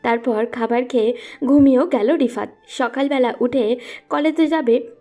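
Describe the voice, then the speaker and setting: A woman's voice: on the high side, a little slow, mellow, soft background music. A woman narrating a scripted romantic audio story.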